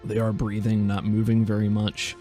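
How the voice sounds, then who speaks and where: A man's voice: lowish voice, monotone, moderate pace, dark tone, faint music behind. A man narrating a tabletop game as game master and podcast host, in an informal, descriptive storytelling way.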